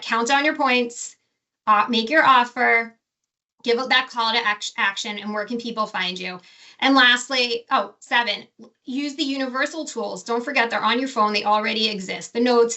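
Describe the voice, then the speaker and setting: A woman's voice: on the high side, sharp tone. A woman professor giving a casual, enthusiastic presentation with slides, often restarting and correcting herself. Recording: distant from the mic.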